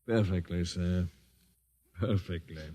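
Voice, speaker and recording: An actor in an old-time radio drama playing a cold, skeptical military commander with clipped speech. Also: male voice, deep voice, fairly even intonation, slow pace, warm tone. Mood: cold, distrustful. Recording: very echoey old radio recording.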